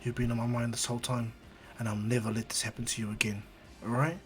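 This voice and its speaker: A man voicing a gentle, protective young male hero, speaking softly and reassuringly in an amateur story. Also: mid-range voice, flat delivery, natural voice. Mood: tender, resolute. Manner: soft, reassuring, measured. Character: protective teenage boy hero, gentle but powerful. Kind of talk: amateur fan-fiction storytelling.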